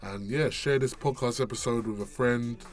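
A young man hosting a podcast, asking questions casually and wrapping up the show in relaxed slang. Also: mid-range voice, moderate pace. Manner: laid-back slangy talk. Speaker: podcast host. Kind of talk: casual interview and show outro.

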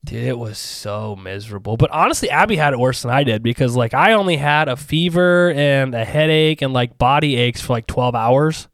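A man's voice: mid-range voice, normal speed, few pauses, no room sound. Casual profane young man, dry mic. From Ohio. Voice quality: a bit nasal. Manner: profane, animated storyteller, calls everyone dude. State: recovering from a sore throat. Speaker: podcast co-host. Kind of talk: casual chat.

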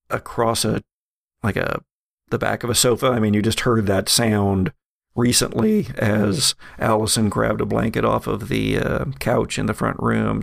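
A man narrating a horror tabletop game as game master, describing scenes in a halting, improvised way. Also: lowish voice, creaky, dry recording, clean studio sound.

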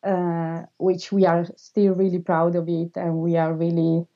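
A woman in her thirties from Italy with an Italian accent, answering interview questions as a filmmaker, pausing often with filler sounds and non-native phrasing. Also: lowish voice, monotone, lo-fi sound.

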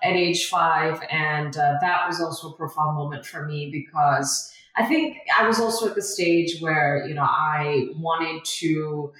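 A woman's voice: low voice, steady tone, measured pace, few pauses, fairly bright tone. A young woman from India, a guest in a casual interview, talking earnestly with frequent "you know" fillers. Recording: very echoey room, far from mic.

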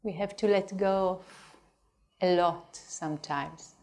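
A woman teaching a guided meditation, speaking gently and unhurriedly with long pauses between phrases. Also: on the low side, fairly even intonation.

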